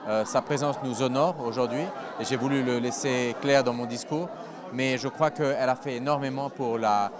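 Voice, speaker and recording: A man's voice: medium pitch, fairly even intonation, normal speed. A man giving an interview at an event, hesitant and searching for words. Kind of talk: street interview. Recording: crowd noise behind.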